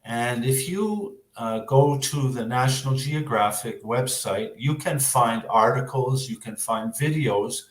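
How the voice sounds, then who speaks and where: A man with a Canadian accent explaining exam advice as an English teacher, in a measured way with frequent hesitant pauses. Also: medium pitch. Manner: measured, hesitant, pausing often. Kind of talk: explanatory interview answers. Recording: roomy, echoing sound.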